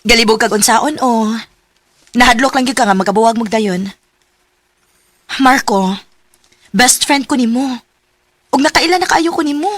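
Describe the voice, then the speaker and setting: A woman's voice: fairly high pitch, moderate pace, long pauses, a bit bright, no room sound. A woman playing a blunt best friend who presses a man with questions in a radio drama. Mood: pressing.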